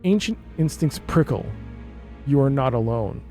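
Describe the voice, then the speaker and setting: A man's voice: mid-range voice, expressive, moderate pace, music in the background. A man streaming a game, reading its narration aloud and adding casual commentary.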